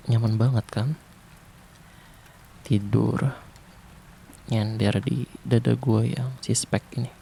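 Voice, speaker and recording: A young man doing a soft, intimate, teasing ASMR boyfriend roleplay. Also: mid-range voice, level tone, slow pace, gravelly, long pauses, slight background noise.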